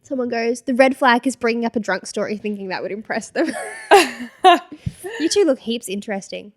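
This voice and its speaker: Giggly young Australian woman, higher voice. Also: lively intonation, normal speed. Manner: giggly, sarcastic, laughs often. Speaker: podcast co-host. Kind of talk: casual chat reading comments aloud.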